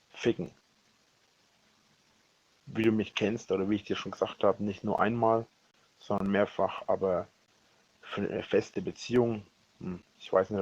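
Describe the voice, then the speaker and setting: A man speaking a rambling personal voicemail, halting and often correcting himself, with a husky voice. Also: lowish voice. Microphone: voice message recorded on a phone. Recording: dull lo-fi leaked voicemail recording.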